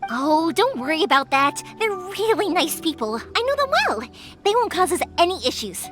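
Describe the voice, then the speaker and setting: A bubbly, caring young woman from an anime game. Her very high voice bounces up and down, impulsive and reassuring, with light music underneath. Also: sing-song, normal speed. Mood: hurried, cheerful. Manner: bright-spirited, impulsive, reassuring. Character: Yoimiya, bubbly, caring young female fireworks maker. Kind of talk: anime video game voice acting.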